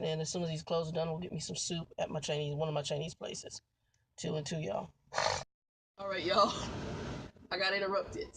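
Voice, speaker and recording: A woman's voice: deep voice, a little hiss or hum, low-quality recording. A woman truck driver vlogging in a casual, rambling way, repeating herself and calling her viewers y'all. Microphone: phone.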